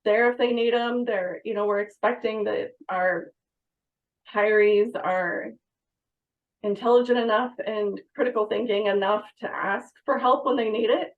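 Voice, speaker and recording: A woman's voice: mid-range voice, steady tone, measured pace, phone-quality sound. A woman guest answering interview questions, explaining how things work at her workplace in a conversational way. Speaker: podcast guest, fire dispatch manager.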